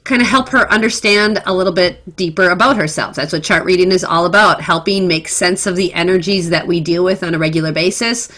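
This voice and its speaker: Bright-voiced effusive woman host. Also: on the low side, fairly quick, few pauses. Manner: effusive, enthusiastic. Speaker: astrologer podcast host. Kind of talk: casual conversation.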